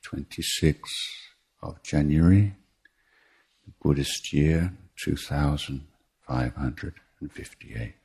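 A man teaching meditation in a guided talk, speaking in measured short phrases with deliberate pauses and a husky voice. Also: extremely low pitch, a little mellow. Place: meditation retreat.

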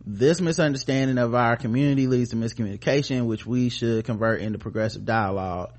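Halting, informal American man co-hosting a podcast. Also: medium pitch, a little mellow. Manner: informal, halting, often trailing off mid-thought. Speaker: podcast co-host. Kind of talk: casual news discussion, partly reading articles.

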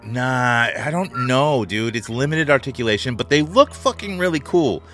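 A man's voice: mid-range voice, moderate pace, soft background music. A man co-hosting a casual podcast about toys, telling rambling stories and swearing freely. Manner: swears a lot, excited, rambling storyteller. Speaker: podcast co-host.

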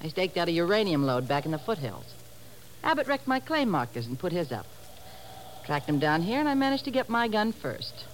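A woman's voice: fairly low pitch, sing-song, a little fast. An actress playing a tough, defiant young frontier woman, curt and folksy, in a 1950s radio drama. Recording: faintly noisy 1950s radio recording.

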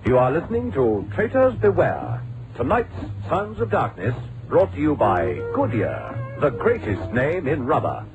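A male announcer with a medium pitch that swings very widely, dramatic in the old radio manner. Loud music plays behind him, and the recording is a little dull. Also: wildly swooping pitch, a little slow. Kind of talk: dramatic sponsor announcement, 1960s-70s radio.

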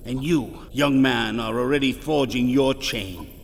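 Radio drama: male actor as tormented spectre of a dead author, solemn and theatrical, drawn-out delivery in cavernous reverb, faint music. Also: medium pitch, close to an everyday voice. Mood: solemn warning. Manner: solemn, mournful, theatrical, archaic diction. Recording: long reverb, music behind.

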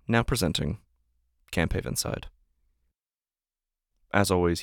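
Deep gravelly man, scripted podcast narration. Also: dry recording, studio quality. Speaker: podcast creator, host and announcer.

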